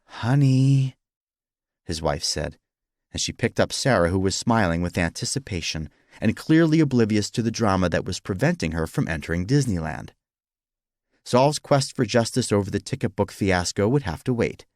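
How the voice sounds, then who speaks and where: Male narrator for fiction audiobook: fairly deep, expressive and dramatic, recorded dry. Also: moderate pace, no echo. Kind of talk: scripted story narration.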